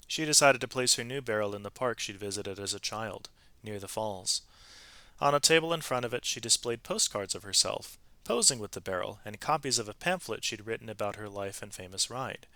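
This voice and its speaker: A man narrating a children's story aloud in an expressive, animated way, with varied emphasis from phrase to phrase. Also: mid-range voice, dry recording.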